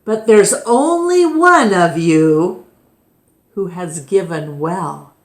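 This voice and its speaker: A woman acts out a solemn old keeper of the flame from a children's storybook. He proclaims grandly and kindly at an unhurried pace, with the echo of a room around the voice. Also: on the low side, animated. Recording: echoey room.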